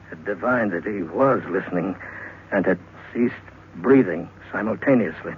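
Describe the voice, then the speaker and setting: A man narrating radio horror in a theatrical 1940s style, suspenseful, with deliberate pauses. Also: low-pitched, a little hiss or hum. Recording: tinny vintage radio recording, music behind.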